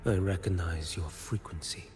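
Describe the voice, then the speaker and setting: An actor playing a stern, blind male warrior in a game, speaking gravely in clipped lines, sometimes whispered. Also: sits low, steady tone, moderate pace, a little mellow, soft background music, lightly acted. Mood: grim.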